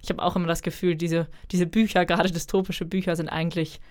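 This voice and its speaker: A woman's voice: on the low side, level tone, fast, darkish tone. Chatty young German woman author. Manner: chatty, thoughtful, frequent fillers. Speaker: author guest. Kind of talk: interview answers.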